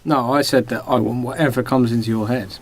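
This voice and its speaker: A man's voice: medium pitch, fairly even intonation, brisk, slight background noise. A man co-hosting a podcast, joking casually and challenging his friends with teasing questions. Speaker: podcast co-host.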